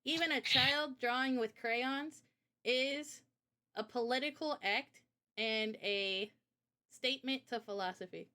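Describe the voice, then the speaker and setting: A woman's voice: high voice, unhurried, pushed and bright. A woman in a casual livestream chat, explaining her ideas in an informal, opinionated way. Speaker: streamer and game developer.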